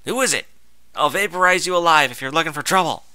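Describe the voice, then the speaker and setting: A man's voice: a little high, expressive, quick pace, no room sound, voice pushed up. A man voicing an agitated, irritable male pony inventor who lives as a paranoid recluse in an audio story. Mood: threatening. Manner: loud, agitated, blustering. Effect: voice over intercom speaker in the story.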